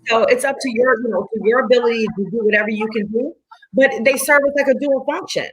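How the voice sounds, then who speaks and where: A woman's voice: fairly high pitch, fast, poor audio. A woman in her forties, a fitness instructor, chatting enthusiastically and repeating herself for emphasis. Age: 40s. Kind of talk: casual chat.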